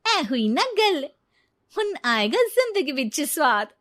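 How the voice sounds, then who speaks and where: A woman as a very high-voiced, slow young daughter-in-law. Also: very animated, fairly bright tone, full character voice. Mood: pleased scheming. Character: young defiant daughter-in-law who turns tearful and remorseful. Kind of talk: cartoon moral story dialogue.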